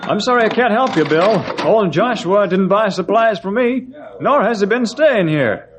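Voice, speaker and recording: A man's voice: high-pitched, animated, normal speed, background noise. An actor playing a sensible, plain-spoken male storekeeper in an old-time radio western. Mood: concerned. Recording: old radio drama recording.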